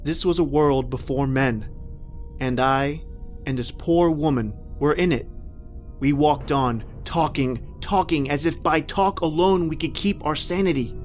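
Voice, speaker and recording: A man's voice: on the high side, soft background music, lightly acted. A man acting a desperate, melodramatic stranded family man. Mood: haunted, grave. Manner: melodramatic, halting, repetitive phrasing. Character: distraught family man stranded in prehistoric past, disbelieving, desperate. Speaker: actor and first-person narrator in a horror radio play. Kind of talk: old-time radio horror acting.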